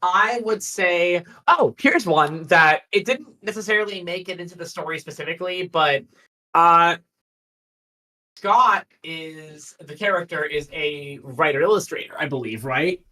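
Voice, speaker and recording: A man with a fairly high, very bright voice talks casually as a guest author on a livestream. He explains his thinking in a rambling, thoughtful way, feeling out each point as he goes. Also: unhurried, rough recording.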